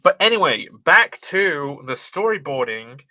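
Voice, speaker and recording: A man's voice: a little high, very animated, a little slow, bright, forceful tone, no room sound. A young man from Britain with a light British, transatlantic-tinged accent, chatting casually on a livestream, reading chat aloud, swearing freely and laughing often.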